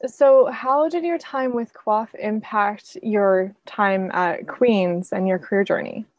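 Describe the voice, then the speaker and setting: A woman's voice: mid-range voice, no echo, muffled recording. Eager female co-host, dry sound. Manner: quick, eager questions. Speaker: interview co-host. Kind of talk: interview questions.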